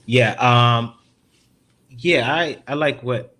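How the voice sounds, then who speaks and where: A man's voice: mid-range voice, very slow, bright, forceful tone. A Haitian American man on a video-call panel, talking casually with many fillers. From United States. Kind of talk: panel discussion.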